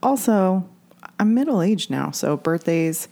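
A woman's voice: lowish voice, animated, takes it slowly, mellow. An American woman in her forties chatting casually and candidly as a co-host, with some swearing. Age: 40s. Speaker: podcast co-host. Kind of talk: casual chat.

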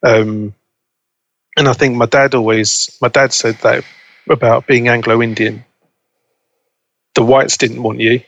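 A young man as an interview guest, chatting casually and relaxed, laughing easily. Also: mid-range voice, takes it slowly, creaky, long pauses, muffled recording. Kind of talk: casual conversation.

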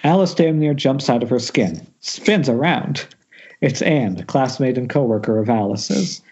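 A man narrates screenplay directions in a mellow, somewhat raspy mid-range voice, occasionally tripping on words. It sounds dull, as if over an internet call. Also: mid-range voice, animated, measured pace. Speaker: narrator reading stage directions. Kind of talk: screenplay table read. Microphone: Skype call.

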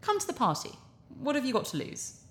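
An actress in a sci-fi audio drama playing a glib, cynical, charming TV propaganda presenter, breezy and persuasive. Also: female voice, high-pitched, huge pitch swings, a little fast, fairly bright tone, heavily acted. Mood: breezy, confident. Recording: roomy, echoing sound.

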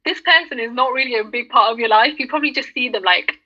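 A young woman chatting casually in an animated way, acting out little bits of remembered talk. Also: on the high side, fast talker. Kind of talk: casual chat. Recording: dull lo-fi sound.

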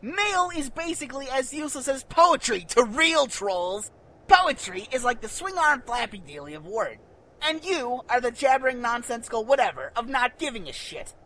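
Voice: medium pitch, very animated, moderate pace, pushed and bright, rough recording. An actor playing a foul-mouthed, hot-tempered young troll programmer in a fan radio play, ranting with exasperated sarcasm. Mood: sarcastic ranting. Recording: music behind the voice.

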